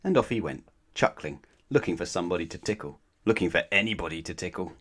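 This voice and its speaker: Low-pitched man reading a children's storybook expressively. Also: animated, fast talker, fairly bright tone, breathy edge. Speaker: storybook narrator. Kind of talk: expressive children's read-aloud narration.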